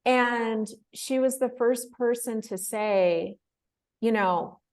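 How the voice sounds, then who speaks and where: A woman in her thirties, a guest in an interview, telling her story in a casual, animated way, laughing often and acting out the voices of people she quotes. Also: a little high, slow. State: emotional, close to tears. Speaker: interview guest, fellowship winner.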